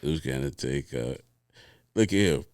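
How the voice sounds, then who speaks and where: A man's voice: a bass voice, expressive, measured pace, gravelly, a little mellow, no echo, clean studio sound. A man in his forties from Orlando, chatting casually as a DJ guest and thinking aloud with restarts. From Orlando, Florida. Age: 40s. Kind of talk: casual interview chat.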